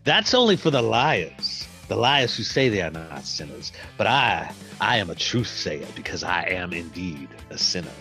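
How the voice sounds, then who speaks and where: Man as a lower-voiced, grandiose sinner. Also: big pitch swings, normal speed, hardly any pauses, bright, forceful tone, soft background music, exaggerated voice. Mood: solemn, unafraid. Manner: grandly declarative. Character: unafraid, solemn self-confessed sinner. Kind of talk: improvised comedy.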